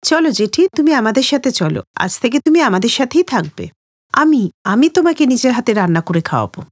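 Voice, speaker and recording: Woman acting a high-voiced protective young niece. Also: very animated, a little fast, no echo, clean studio sound. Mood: reassuring. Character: kind, protective young niece, reassuring an aunt. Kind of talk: cartoon moral story acting.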